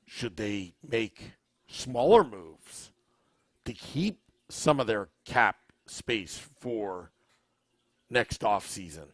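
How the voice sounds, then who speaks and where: A man with a scratchy, tired-sounding voice hosts a live podcast, reading out viewer comments and tossing questions back to his audience. He speaks slowly and a little low, close to a dry, unreverberant mic. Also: dry recording. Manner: relaxed, unhurried, asks the audience questions. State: scratchy, worn voice. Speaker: podcast host.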